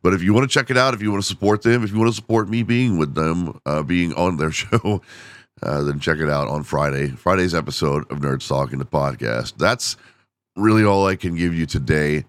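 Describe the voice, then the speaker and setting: A man's voice: low voice, brisk, no echo. A man hosting a casual solo podcast, rambling and self-deprecating with frequent laughs, and losing his voice from overuse. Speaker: podcast host.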